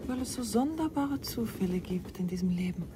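An actress in a radio play voicing a middle-aged woman who questions her lover with quiet suspicion. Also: mid-range voice, animated, fairly quick, background noise, lightly acted. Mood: suspicious, probing. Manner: probing.

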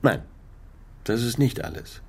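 A cool, formal investigator presses his questions with measured calm. His voice has a gravelly edge, sitting at an ordinary middle pitch. This is a radio drama performance. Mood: pressing. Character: cool probing male government investigator.

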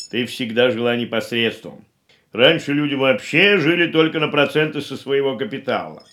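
An actor playing a fussy, thrifty older uncle in a radio drama, grumbling and admonishing. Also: male voice, mid-range voice, unhurried, fairly bright tone, a little hiss or hum, natural voice. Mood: amused reproach. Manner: grumbling, chuckling, hemming, admonishing.

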